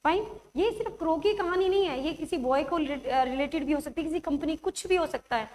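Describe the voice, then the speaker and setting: High-voiced woman teacher, sore throat. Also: darkish tone. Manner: coaxing, checks understanding often; writes examples while speaking, slows to dictate them. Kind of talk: live online grammar class.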